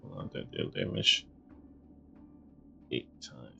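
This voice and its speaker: A man's voice: slow, bright, forceful tone, muffled recording, soft background music. A man giving casual game stream commentary, muttering to himself in short fragments.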